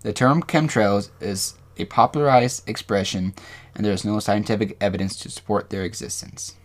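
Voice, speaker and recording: A man co-hosting a podcast, talking casually and reading from notes, with halting, rambling explanations. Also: fairly low pitch, steady tone. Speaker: podcast co-host. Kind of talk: casual discussion with some reading aloud from notes.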